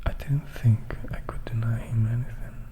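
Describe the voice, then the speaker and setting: A man's voice: medium pitch, fairly even intonation, normal speed, warm tone, lightly acted. A man voicing a melancholy, composed vampire who recounts his past in a reflective, whispered storybook reading. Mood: wistful, confiding. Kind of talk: whispered ASMR audiobook reading.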